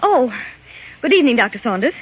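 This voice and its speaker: A troubled wife, noisy old radio. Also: female voice, sits high, big pitch swings, brisk, dull audio. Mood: surprised. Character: troubled, genteel, strong-willed wife of a drinker. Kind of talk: 1940s radio drama acting.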